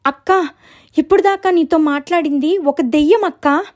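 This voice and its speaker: A woman's voice: sits high, moderate pace, a little mellow, pitched above the performer's own voice, natural voice. A woman voicing a frightened, urgent young younger sister in a story, anxious and full of exclamations. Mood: panicked, insistent.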